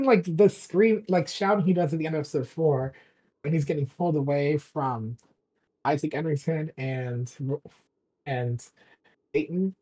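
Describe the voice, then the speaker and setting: A person with a husky voice chatting casually and emphatically as a drama director guesting on a podcast, gushing and rambling along. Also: medium pitch, darkish tone, poor audio. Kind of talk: casual enthusiastic chat.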